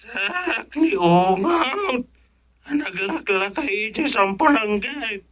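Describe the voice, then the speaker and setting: A man playing a cantankerous, impatient caller in an Ilocano radio comedy, scolding and exclaiming in drawn-out words with an Ilocano accent. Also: high-pitched, expressive, fairly bright tone, exaggerated voice. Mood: exasperated, scolding.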